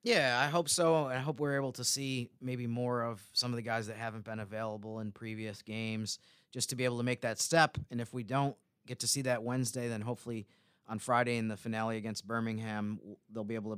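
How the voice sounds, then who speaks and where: A man gives casual sports analysis as a podcast co-host. His voice is smooth and mid-pitched, recorded dry and close. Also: steady tone, brisk, a bit bright, no room sound.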